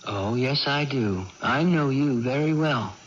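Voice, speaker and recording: An actor playing a devoted young brother in a 1980s radio drama, coaxing tenderly and explaining things simply and patiently. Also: male voice, medium pitch, a little slow, lightly acted. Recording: muffled old radio broadcast, faint noise.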